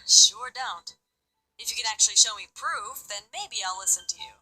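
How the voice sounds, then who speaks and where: A woman's voice: a little high, animated, normal speed, pushed and bright, breathy edge, exaggerated voice, rough recording. An actress playing a curt, distrustful female witness in a visual novel game, coldly dismissive.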